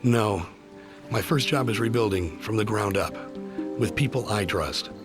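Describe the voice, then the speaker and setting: A man's voice: fairly low pitch, a little slow, loud music behind the voice, lightly acted. An actor playing a resolute, earnest male operative in a video game, speaking in firm, terse phrases. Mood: determined. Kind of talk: video game cutscene acting.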